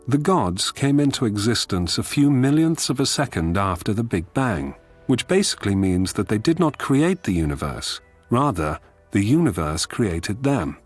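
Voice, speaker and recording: A man delivering wry, scripted comic narration like entries from a guidebook. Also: lowish voice.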